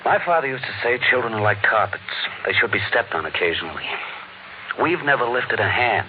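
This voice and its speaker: A man's voice: fairly low pitch, animated, fairly quick, narrow, tinny audio, noisy background, lightly acted. A man playing a middle-aged husband and father, uneasy and firm, in an old-time radio drama. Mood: troubled. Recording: thin, band-limited old radio recording, music.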